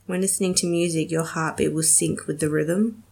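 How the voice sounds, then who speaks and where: Low, gravelly-voiced young woman podcaster. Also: fairly even intonation, moderate pace, a little mellow. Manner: chatty, giggly, many ums. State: slightly nasal from a cold. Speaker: podcast host. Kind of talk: casual solo podcast chat.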